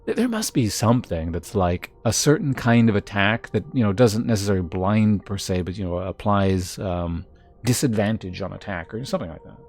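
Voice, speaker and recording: A man streaming a game, chatting casually with viewers and thinking aloud in a halting way. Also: on the low side, expressive, darkish tone. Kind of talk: casual live-stream chat.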